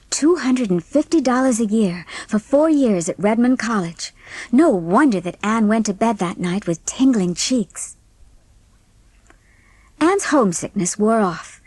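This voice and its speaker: A woman's voice: on the high side, a little mellow, no echo. A woman reading a classic novel as an audiobook narrator, in scripted storytelling style.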